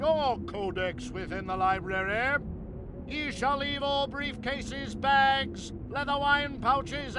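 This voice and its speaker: A very high, solemn library rule-keeper. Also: male voice, background noise. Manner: solemn, formal, archaic recitation. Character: prim, high-voiced ceremonial keeper of library rules. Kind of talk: scripted game cutscene acting.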